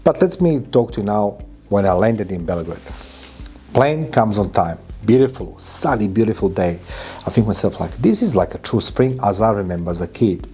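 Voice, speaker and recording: A man's voice: fairly low pitch, expressive, normal speed, warm tone, husky, faint music behind. A man in his fifties from Croatia, speaking non-native English with a Croatian accent, telling travel stories emphatically as a vlogger. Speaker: vlogger and podcast host. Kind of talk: informal travel vlog monologue to camera. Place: furnished indoor room, hotel-like.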